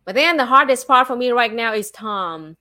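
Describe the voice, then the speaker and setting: A woman's voice: on the high side, expressive, moderate pace, bright, forceful tone. A woman with a Vietnamese accent, a native Vietnamese speaker talking casually in English, filling her speech with "like" and restarting her sentences. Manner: chatty, with many filler likes and restarts. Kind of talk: casual chat.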